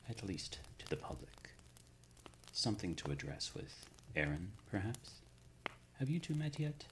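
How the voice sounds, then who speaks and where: Guy doing a really deep slow ancient lord type for asmr roleplay, soft half-whisper, super formal and shrewd. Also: a little hiss or hum, full character voice, low-quality recording. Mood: polite, probing. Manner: formal, measured, erudite wording with deliberate pauses. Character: courteous, shrewd, ancient male supernatural lord offering sanctuary. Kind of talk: ASMR roleplay, intimate scripted monologue.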